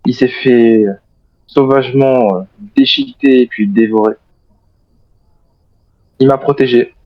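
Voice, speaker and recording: A man role-playing a courteous zombie survivor in a game, with formal phrasing and hesitant pauses. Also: medium pitch, fairly even intonation, no room sound, lo-fi sound. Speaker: video game role-player. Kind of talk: improvised in-character game chat.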